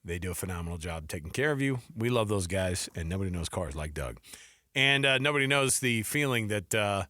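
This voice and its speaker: An American man hosting casual sports talk radio, chatting informally and telling things in colloquial, animated phrases. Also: on the low side, a little fast, no echo, clean studio sound. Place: radio studio.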